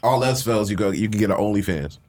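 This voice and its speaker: A man's voice: on the low side, lively intonation, fast, a little mellow. A man co-hosting a podcast in a casual, joking chat, laughing often and swearing freely. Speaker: podcast co-host.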